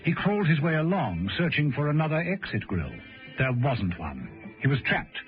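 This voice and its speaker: A man's voice: fairly high pitch, sing-song, normal speed, soft background music. A man narrating a vintage radio serial in a dramatic, suspenseful scripted style. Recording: muffled old radio broadcast recording.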